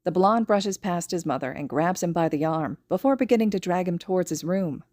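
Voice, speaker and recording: A woman's voice: on the low side, animated, brisk, a little mellow, no echo. A woman reading a story aloud as a scripted podfic narration.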